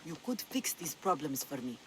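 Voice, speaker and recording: A woman's voice: low-pitched, big pitch swings, normal speed, a bit bright, slight background noise, low-quality recording. A woman playing a sly, commanding pirate leader in a video game, with theatrical delivery. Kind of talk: video game cutscene acting.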